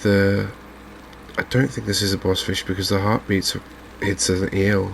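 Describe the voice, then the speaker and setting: A soft-spoken man giving unhurried ASMR commentary on a video game. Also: deep voice, level tone, vocal fry, a little hiss or hum. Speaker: gaming video host.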